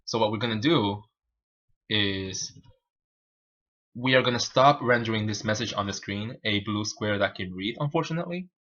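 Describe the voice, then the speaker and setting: Guy w spanish as first language doing a live coding stream, lowish bright voice, kinda halting, talks himself thru it. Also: muffled recording. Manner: halting, informal, self-narrating. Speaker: programming livestream host. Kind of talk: live coding tutorial, thinking aloud.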